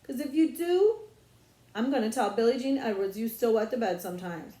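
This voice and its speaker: A woman plays an exasperated country schoolboy in an audiobook, impatient and bossy. The talk is folksy and blustering, pushed higher and louder, in an echoey room. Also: lively intonation, normal speed, natural voice. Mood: desperate, bossy. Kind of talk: audiobook character voice.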